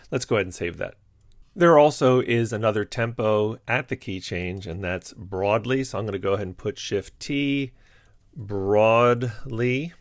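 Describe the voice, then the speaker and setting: A male music teacher walking through a software tutorial in calm, step-by-step instructions, with frequent "uh" and "um" fillers. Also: lowish voice, no room sound, clean studio sound. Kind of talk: screen-recorded software tutorial.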